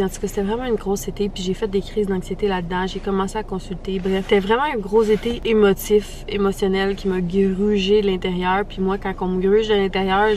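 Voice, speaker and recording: A woman's voice: mid-range voice, a little mellow, slight background noise. A young woman with a Québécois French accent, giving a candid, chatty life update and peppering her talk with English slang. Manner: chatty, self-deprecating, frequent English slang. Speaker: vlogger.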